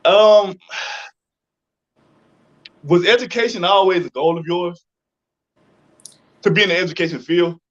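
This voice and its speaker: Dull-sounding, higher-voiced American man hosting. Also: fairly even intonation, measured pace, long pauses, fairly bright tone. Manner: informal, thinking aloud. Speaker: interview host. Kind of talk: casual conversational interviewing. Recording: dull lo-fi audio.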